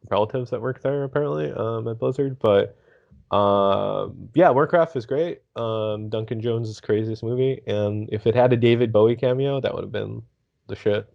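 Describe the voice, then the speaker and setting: Gravelly lowish guy on a podcast, laid back and hesitant, muffled lo-fi mic. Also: moderate pace. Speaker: podcast panelist. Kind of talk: casual chat. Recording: dull lo-fi microphone sound.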